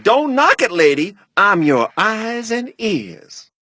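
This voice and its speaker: A man's voice: high-pitched, slow, no echo, studio quality, exaggerated voice. An actor playing a cocky, slangy young American tech support guy in a video game cutscene. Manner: slangy, animated, excitable.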